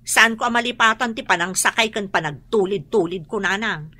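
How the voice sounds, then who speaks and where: A woman's voice: medium pitch, fairly quick, bright, forceful tone. A woman acting in an Ilocano radio drama as a self-conscious, bitter woman ashamed of her humble life, speaking with an Ilocano accent in a reluctant, complaining way. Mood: bitter, stubborn.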